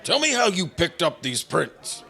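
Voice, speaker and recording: A man playing an earnest, halting federal agent in an old-time radio drama, with stammered pauses. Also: a little high, sing-song, gravelly, pushed and bright, heavily acted. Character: hesitant, earnest male federal bureau agent.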